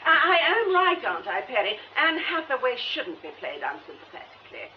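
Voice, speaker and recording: Needy, gushing stage actress, animated, affected, muffled radio drama recording. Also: female voice, a little high, brisk, a little hiss or hum. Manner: theatrical, effusive, affected. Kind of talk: British radio drama acting.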